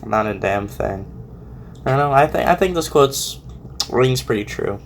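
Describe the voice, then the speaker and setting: A man giving casual, unscripted commentary as a host, speaking in a halting, rambling way with many repeated words. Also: medium pitch, expressive, a little hiss or hum. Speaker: host reacting to a fan fiction audio reading.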